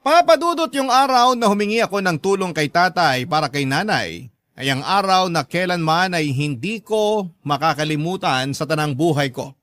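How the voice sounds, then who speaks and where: Sorrowful Filipino letter writer: earnest, measured radio drama narration, slightly high voice, dry close recording. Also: male voice, expressive, fairly bright tone, no echo. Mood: hurt, reflective. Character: sorrowful grown child recounting a father's betrayal.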